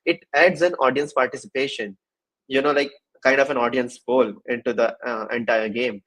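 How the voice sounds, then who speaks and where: A man hosting a casual livestream interview, speaking in halting phrases with repeated fillers. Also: medium pitch, fairly even intonation, a bit bright, low-quality recording. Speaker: host of a developer livestream session.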